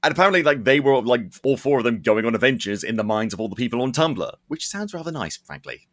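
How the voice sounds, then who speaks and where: A man's voice: medium pitch, sing-song, fast talker. A man hosting a podcast, chatting casually and comedically through entertainment news with opinionated, wry rambling. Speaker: podcast host.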